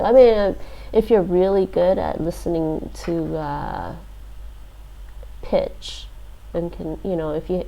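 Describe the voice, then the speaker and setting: A woman streamer thinking aloud as she works through a lesson, hesitant, with many fillers and restarts, relaxed and self-deprecating. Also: on the low side, vocal fry, poor audio. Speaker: live streamer. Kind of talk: thinking aloud while doing a language app.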